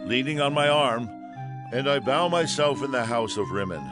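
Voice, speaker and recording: An actor playing a proud, earnest army commander in a dramatized scripture production. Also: male voice, medium pitch, animated, normal speed, music in the background, natural voice. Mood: humbled, earnest. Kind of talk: dramatized scripture audio acting.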